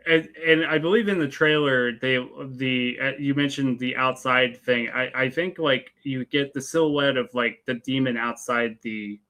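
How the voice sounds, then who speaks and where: A man's voice: mid-range voice, fairly even intonation, measured pace, few pauses, sharp tone. A man giving his opinions as a reviewer in a casual, conversational movie discussion.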